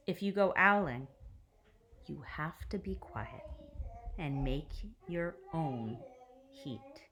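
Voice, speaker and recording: A woman teacher reading a picture book aloud to children in an expressive way, with deliberate pauses and hushed phrase endings. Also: low-pitched, a little hiss or hum.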